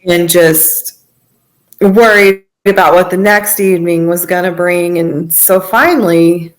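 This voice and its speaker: A woman's voice: on the low side, steady tone, a little slow, rough recording. A woman giving personal testimony as a podcast guest, in informal, conversational storytelling.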